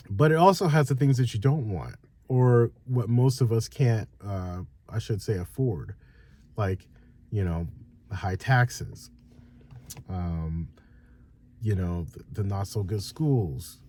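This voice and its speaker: A man with a low, mellow voice talks loosely to his viewers and wanders through his thoughts. His nose is stuffed up from a cold, which gives his voice a nasal tone. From Northern California. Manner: loose, rambling, many fillers and restarts. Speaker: vlogger. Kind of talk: casual direct-to-camera chat.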